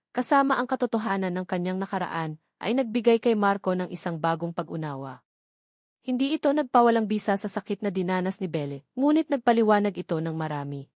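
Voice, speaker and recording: Filipina woman reading a drama story, normal pitch, dry sound no room. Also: darkish tone, no room sound. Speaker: narrator. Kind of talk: scripted dramatic story narration.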